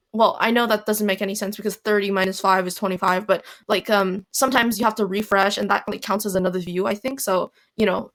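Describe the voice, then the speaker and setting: A teenage girl talks alone into a home mic for her podcast. Her voice is hoarse and raspy, sitting at an ordinary mid pitch, and she rambles along with fillers, restarts and self-deprecating asides. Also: fairly bright tone. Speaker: podcast host. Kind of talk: casual solo podcast monologue. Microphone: home recording mic.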